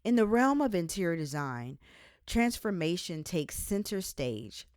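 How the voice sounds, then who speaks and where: Female author-narrator for devotional nonfiction, slightly low register, measured and emphatic delivery, dry recording. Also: sing-song, darkish tone, dry recording. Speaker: author reading their own book aloud.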